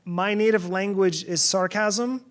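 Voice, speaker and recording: Middle-aged man from New Jersey, conference keynote, loose and sarcastic, restarts often, a touch high-pitched. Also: fairly even intonation, unhurried. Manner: conversational, sarcastic asides, frequent fillers and restarts. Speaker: keynote speaker.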